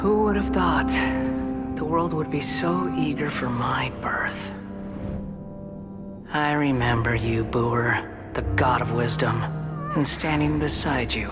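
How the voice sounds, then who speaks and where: A man's voice: mid-range voice, slow pace, long pauses, close to an everyday voice. A man playing an arrogant, youthful puppet god and villain in an anime-style video game, haughty, theatrical and menacing. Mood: cold, grandiose. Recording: loud music under the voice.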